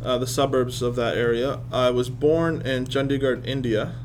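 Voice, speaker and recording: Halting joking man in a classroom. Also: mid-range voice, fairly even intonation, very noisy. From Boston area, United States. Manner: joking, self-deprecating, halting restarts. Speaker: professor being interviewed. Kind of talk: casual interview in a classroom. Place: classroom with students.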